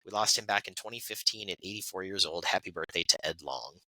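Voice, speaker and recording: Podcast co-host, male, bright and chatty, reads from notes with asides, dry close sound. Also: deep voice, fast, dry recording, low-quality recording. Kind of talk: casual birthday segment reading.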